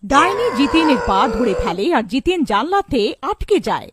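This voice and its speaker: A woman reading scripted narration for a children's cartoon story in an animated storytelling style. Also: high voice, normal speed, no room sound.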